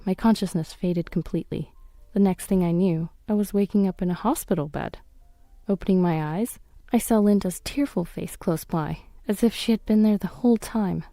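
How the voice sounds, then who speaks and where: Female story narrator, mellow tone, dry close recording, scripted first-person domestic drama. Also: on the low side, dry recording. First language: English.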